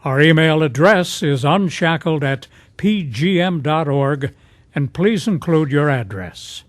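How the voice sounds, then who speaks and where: A man announcing for a radio program in a measured, scripted style with clear phrasing. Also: a little high, lively intonation.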